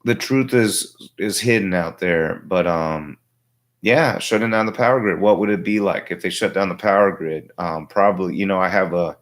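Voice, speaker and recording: Guy with a low tired voice losing it a bit, halting reflective politics talk, streaming from his home workshop. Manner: halting, reflective, thinking aloud. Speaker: livestream host. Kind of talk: casual political commentary. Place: small home workshop, indoors.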